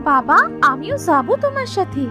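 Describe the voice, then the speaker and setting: A woman puts on a little village girl for a cartoon. The voice is extremely high, animated and childlike, coaxing and a bit worried, over clear background music. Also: moderate pace. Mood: eager, pleading. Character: eager, worried young village daughter. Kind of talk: Bengali cartoon dubbing.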